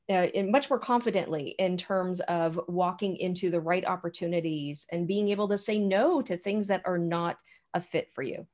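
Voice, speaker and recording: A woman's voice: medium pitch, darkish tone. A woman co-hosting an online coaching talk, chatting casually and affirming her partner, with frequent "you know" fillers. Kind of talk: casual conversational chat. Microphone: video call.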